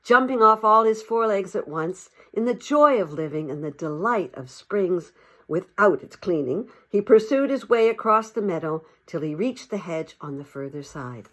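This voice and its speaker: Woman reading a story with lively intonation. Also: medium pitch, moderate pace. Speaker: audiobook narrator. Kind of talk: expressive storybook narration.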